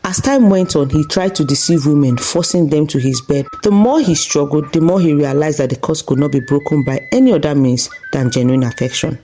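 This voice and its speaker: A woman's voice: low voice, lively intonation, a little fast, few pauses, mellow, a little hiss or hum. A woman storyteller reading a scripted folktale narration.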